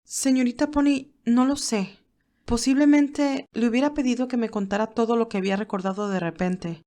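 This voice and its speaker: A woman's voice: fairly high pitch, normal speed, warm tone, natural voice. A woman voicing an emotional, defensive young nurse in acted audiobook dialogue, earnest and emphatic. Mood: defensive, hurt.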